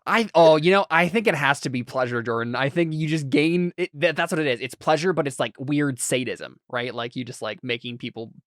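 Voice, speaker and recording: A man's voice: on the high side, brisk, few pauses, fairly bright tone, no echo. A man as game master of a tabletop game, talking casually with players, with many fillers and restarts. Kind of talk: casual game chat, reading chat.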